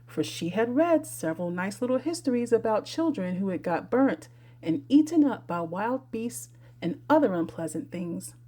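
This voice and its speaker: A woman reading a classic children's story aloud as an expressive audiobook narrator. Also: a little high, moderate pace. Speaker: audiobook reader.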